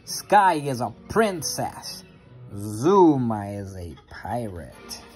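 An expressive reader with a sing-song delivery, reading a children's picture book aloud into a phone at home. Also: medium pitch, very slow, soft background music. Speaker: storybook reader. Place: carpeted playroom at home. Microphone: handheld phone near the reader.

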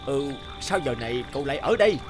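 A man's voice: medium pitch, animated, fast talker, lightly acted. A man voicing a huge bearded forest wildman in a cartoon, grave, loyal and earnest. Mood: grave, urging. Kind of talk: cartoon voice acting. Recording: music under the voice.

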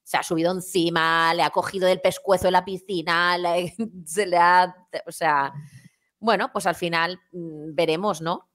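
A woman's voice: on the low side, fairly even intonation, a little slow, a bit bright. A woman in her forties chatting casually and emphatically about a show, repeating phrases and adding tag questions, on a headset from home. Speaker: online show panelist. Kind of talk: casual reality-show chat. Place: home room, on a video call.

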